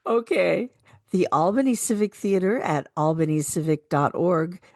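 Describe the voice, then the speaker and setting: A woman's voice: on the low side, animated, moderate pace, a little mellow, dry recording, clean studio sound. An older woman, a retired actress, talking casually as a radio co-host.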